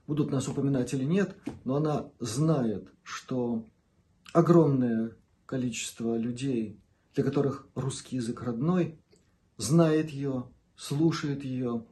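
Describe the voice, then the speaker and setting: A man with a medium-pitched voice addresses his viewers as a channel host. He speaks very slowly and deliberately, breaking his thoughts into short phrases and drawing out the words he wants to stress. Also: dark tone. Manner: measured and deliberate, stretches emphasized words, pauses between short phrases. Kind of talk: unscripted monologue addressed to viewers.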